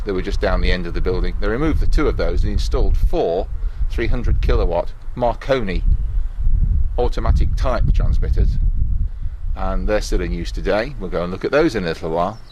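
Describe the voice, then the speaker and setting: A middle-aged or older man giving an informal guided technical tour in a matter-of-fact, explanatory way. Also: lowish voice. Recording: roomy echo with faint background noise.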